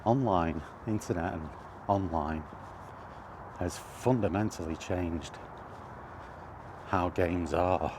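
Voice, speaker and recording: British man, slow halting delivery, deep voice, casual walking commentary, thinking aloud. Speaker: video host. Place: outdoors on a walking path. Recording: outdoor ambient noise throughout.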